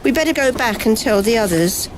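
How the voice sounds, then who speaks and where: A woman playing a brisk, clinical lady doctor in an amateur 1930s mystery radio play. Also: fairly high pitch, animated, close to an everyday voice. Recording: clearly noisy amateur recording.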